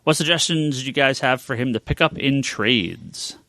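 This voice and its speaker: A man's voice: medium pitch, expressive, normal speed, pushed and bright, no echo. A middle-aged man chatting casually and giving his opinions as a podcast co-host. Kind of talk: casual panel chat about comic books.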